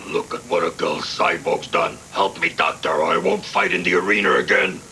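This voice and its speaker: A man voicing a hulking, vengeful cyborg brute, a menacing former arena champion with a gravelly voice, in an anime dub on worn tape. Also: fairly even intonation, moderate pace, natural voice. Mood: desperate, growling. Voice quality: deep, gravelly. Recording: worn VHS tape dub with music behind.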